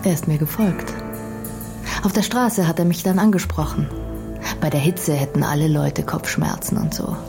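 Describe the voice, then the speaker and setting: An actress playing a composed young undercover decoy in a radio crime drama, reporting soberly and precisely. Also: female voice, low voice, animated, moderate pace, creaky, music in the background. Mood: explaining.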